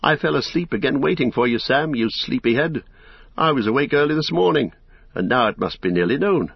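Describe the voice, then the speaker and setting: A man voicing a gentle, cheerful young hobbit in a storybook, speaking lightly and briskly with affection. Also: medium pitch, animated, creaky, husky, no room sound, lo-fi sound, pitched above the performer's own voice. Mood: cheerful, teasing.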